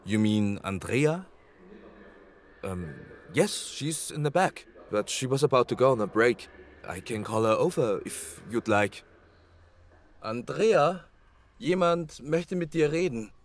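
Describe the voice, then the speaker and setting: Casting: actor as courteous barista for audio roleplay; male, very animated pitch, unhurried and a little hesitant. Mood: polite, helpful. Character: polite, helpful German café barista.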